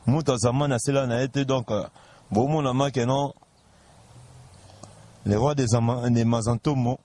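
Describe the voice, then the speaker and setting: A man with a Congolese accent talks casually as an interviewer. His voice sits at a medium pitch, and the recording has background noise. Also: steady tone, normal speed, dark tone.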